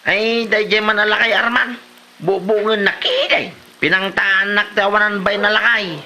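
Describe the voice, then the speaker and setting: A man's voice: high voice, steady tone, a little slow, creaky, sharp tone, slight background noise, heavily acted. A man playing a cheeky village prankster in an Ilocano comedy drama, teasing and exclaiming with a northern Philippine accent. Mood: agitated. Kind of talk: Ilocano comedy radio-style drama acting.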